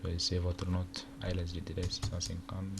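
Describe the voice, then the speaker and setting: A young man from Chad whose first language is Arabic, casually talking through a screen-recorded walkthrough with many pauses and fillers. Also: sits low, monotone, creaky. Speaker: tutorial presenter. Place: indoor room at a computer. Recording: noisy home recording with keyboard clicks.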